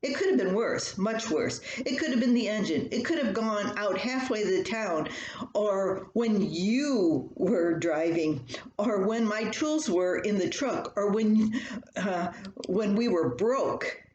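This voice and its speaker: Casting: woman reader as giggly upbeat husband in a homespun story, emphatic, unhurried delivery, echoey room. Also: mid-range voice, animated, a little mellow, muffled recording. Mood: amused, insistent. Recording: roomy echo, faint background noise.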